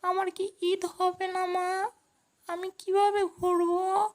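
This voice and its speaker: Poor little boy, kids' moral tale: tiny high voice, slow earnest pleading. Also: little pitch movement. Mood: wistful, pleading. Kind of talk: children's moral story dialogue.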